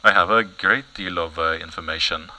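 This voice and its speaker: An actor playing a mysterious, solemn foreign informant in an audio comedy, halting, evasive and deadpan. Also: male voice, low-pitched, slight background noise, low-quality recording. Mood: cryptic, solemn. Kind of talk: satirical news audio comedy acting.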